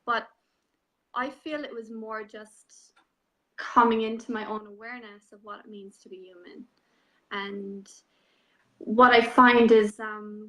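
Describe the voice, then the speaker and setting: A woman in her thirties with an Irish accent, telling her story as an interview guest in a soft, unhurried way with many ums. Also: a little high, low-quality recording. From Ireland. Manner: soft-spoken, unhurried, frequent ums and you-knows. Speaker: guest, transformational coach. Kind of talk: reflective personal story in an online interview.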